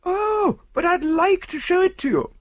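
Man as a gushing high-pitched society lady. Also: animated, measured pace. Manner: effusive, gushing.

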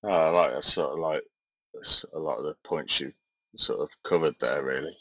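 A man's voice: very deep voice, moderate pace, pushed and bright, dry recording. A man co-hosting a podcast, chatting casually and hesitantly with many fillers. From Midlands of England. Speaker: podcast co-host. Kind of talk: casual chat.

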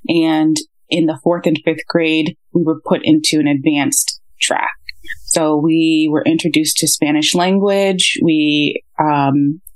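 A woman from Boston with a lowish voice is a podcast guest. She answers in a measured, thoughtful way. Her voice sounds dry and close. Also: dry recording, low-quality recording. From Boston, USA. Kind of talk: interview answers.

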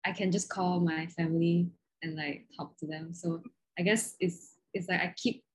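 Husky young woman, rambling casual chat. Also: fairly low pitch, steady tone, normal speed, poor audio. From Malaysia. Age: young adult. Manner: rambling, heavy use of "like". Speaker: podcast co-host.

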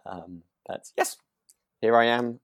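A British man with a mid-pitched voice co-hosts a relaxed podcast. He talks informally and enthusiastically, often restarting his phrases. The recording is close and dry. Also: takes it slowly, no room sound. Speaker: podcast co-host. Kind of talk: relaxed casual chat between friends. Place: remote internet recording, dry room.

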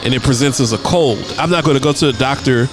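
A man's voice: mid-range voice, fast talker. A man hosting a casual internet radio show, talking in a laid-back way and often repeating words as he thinks aloud. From United States. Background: music playing.

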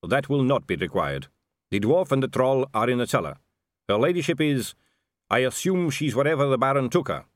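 A man's voice: medium pitch, lively intonation, a little fast, dry recording, clean studio sound, voice pushed up, lightly acted. A man voicing a nervous, yielding guard captain who speaks hesitantly and respectfully, in a storybook reading. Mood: nervous, faltering.

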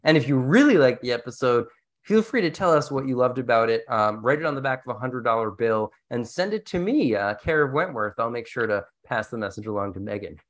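A man's voice: medium pitch, animated, brisk. A man hosting an educational podcast in a casual, conversational way with a jokey streak. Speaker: podcast host.

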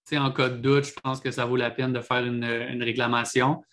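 A man with a Quebec French accent, co-hosting a legal podcast in a calm, hesitant way. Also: medium pitch, fairly quick. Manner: calm, hesitant, frequent fillers. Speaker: podcast co-host. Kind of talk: casual legal podcast chat.

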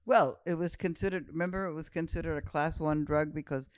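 A woman in her seventies, a podcast guest telling stories in a calm, unhurried, reflective way. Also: low voice, no echo. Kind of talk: relaxed storytelling conversation.

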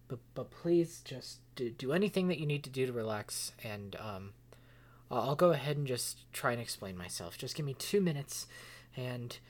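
A woman acting an exasperated, volatile character in an audio drama, pleading in halting, stammering, emphatic speech. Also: a bass voice. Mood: anxious, pleading.

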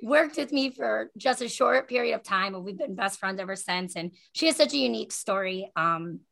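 A woman's voice: mid-range voice, expressive, normal speed, gravelly, fairly bright tone. A woman hosting a podcast in a casual, chatty way with a friend, effusive and giggly in manner. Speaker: podcast host.

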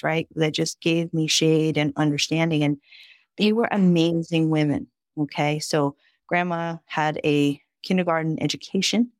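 A woman in her fifties from the Dominican Republic, Spanish her first language, speaks in a fairly low, dry-sounding voice. She tells family stories vividly and often checks in with a quick "right?". The setting is a relaxed podcast conversation. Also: no echo. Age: 50s. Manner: lively, vivid storyteller with frequent "right?" asides. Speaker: podcast guest, physician. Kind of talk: casual conversation, personal storytelling.

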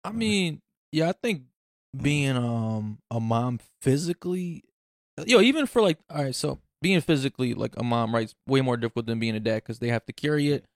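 A man's voice: medium pitch, animated, normal speed, no room sound, studio quality. A young man hosting a casual podcast, talking loosely with slang and lots of fillers. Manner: laid-back slangy talk, many "like" and "yo". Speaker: podcast host.

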